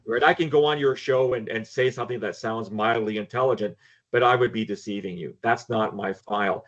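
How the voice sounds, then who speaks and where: A man's voice: on the low side, a little fast, a bit bright, dull audio. An older man, speaking frankly and emphatically as a guest in a casual panel discussion. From Canada. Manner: emphatic, frank, repeats words when starting. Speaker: guest, former intelligence analyst.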